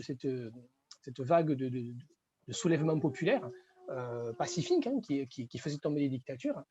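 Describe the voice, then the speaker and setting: A man's voice: on the high side, warm tone, dull audio. A man from the audience, hesitant and polite, asking questions during a film discussion. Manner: hesitant, polite, many fillers.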